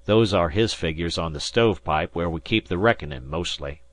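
Male reader as gruff, fair-minded schooner captain for an audiobook, folksy and rustic, low register. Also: moderate pace, lightly acted. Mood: frank, kindly. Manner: rustic, folksy turns of speech. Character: gruff, fair fishing schooner skipper, adult man.

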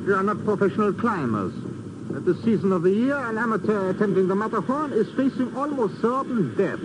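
An actor playing a grave, aloof, mysterious European gentleman, formal and measured, in 1950s radio drama style. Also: high-pitched, very animated. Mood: ominous. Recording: noisy old 1950s radio recording.

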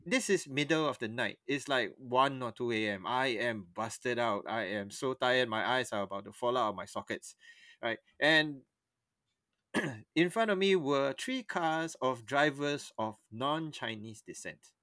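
A young man tells a story on a podcast in a bright, forward voice. The sound is close and dry, with no room around it. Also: medium pitch, animated, a little slow, no echo. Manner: rambling, often stops and restarts mid-sentence. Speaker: podcast co-host. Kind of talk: casual storytelling chat.

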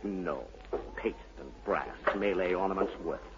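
An actor playing the haunted, brooding son of a mad sea captain in a dramatic, insinuating 1940s radio style. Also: male voice, low-pitched, normal speed, close to an everyday voice. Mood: bitter, intense. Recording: muffled, hissy 1940s radio broadcast.

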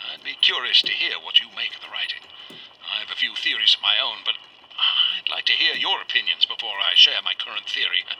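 A man's voice: mid-range voice, sharp tone. An actor playing an earnest, uneasy country man who rambles hesitantly as he records a spoken letter for a radio drama. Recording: faintly noisy, old wax cylinder recording.

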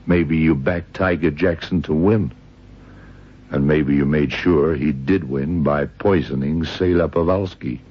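An actor playing a tough, shrewd, sardonic American police detective in an old radio crime drama. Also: male voice, a bass voice, measured pace, breathy edge, heavily acted. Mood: accusing. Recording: muffled, noisy old radio drama recording.

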